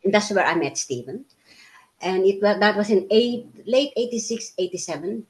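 A woman's voice: medium pitch, normal speed, rough recording. Chatty Filipina woman telling her story. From Philippines. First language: Tagalog. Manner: chatty with frequent fillers and restarts. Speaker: interviewee. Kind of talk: casual life-story interview.

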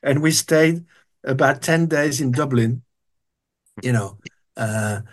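A man's voice: mid-range voice, slow pace. An older man, a guest telling long stories in an interview, hesitant with many restarts. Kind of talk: storytelling interview.

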